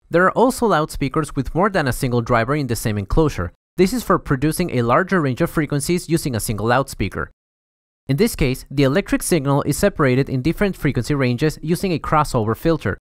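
A man's voice: mid-range voice, no echo, studio quality. A young man narrating a scripted educational explainer in a formal, methodical way as the host. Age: young adult. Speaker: host of an educational audio series.

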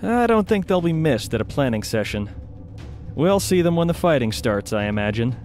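A man playing a brisk, weary wizard leading a war council in an audio drama, measured and businesslike. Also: fairly high pitch, big pitch swings, a little mellow. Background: background music.

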